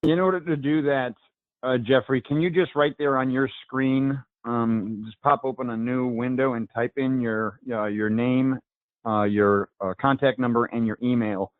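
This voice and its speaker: A man hosting a video call, giving brisk and direct instructions. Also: medium pitch, fairly even intonation, dry recording. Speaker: call host. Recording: dry tinny phone-like line.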